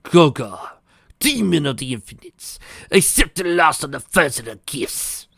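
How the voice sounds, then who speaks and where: A man's voice: on the high side, sing-song, unhurried, vocal fry, fairly bright tone, slightly rough, no room sound, pitched above the performer's own voice, full character voice. A sadistic cult leader intones his ritual words with a leering, theatrical relish, as a man plays him. The sound is close and dry.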